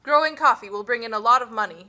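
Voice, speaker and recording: A woman voices a callous authority figure in a puppet play. The voice is fairly high, bright and forceful. She gives orders briskly and without sympathy. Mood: proclaiming. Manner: brisk, commanding. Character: bossy, callous official and plantation overseer. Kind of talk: amateur political puppet show.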